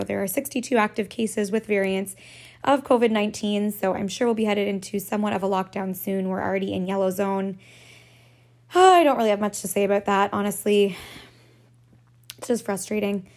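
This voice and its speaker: A woman hosting a casual solo podcast, her voice raspy and hoarse from just waking up, rambling along with frequent laughs and casual swearing. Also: medium pitch, a little mellow. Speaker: podcast host.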